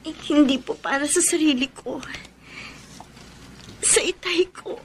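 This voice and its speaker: A woman's voice: high voice, a little slow, a little hiss or hum. A woman playing a young village woman in a radio horror drama, polite and pleading, often in tears.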